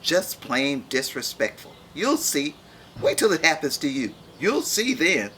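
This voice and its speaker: A woman's voice: deep voice, sing-song, a little slow, a bit bright, slight background noise, exaggerated voice. A woman voicing an aggrieved, dramatic berry in a storybook, indignant and pleading. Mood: bitter. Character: aggrieved, dramatic berry demanding action at farm meeting.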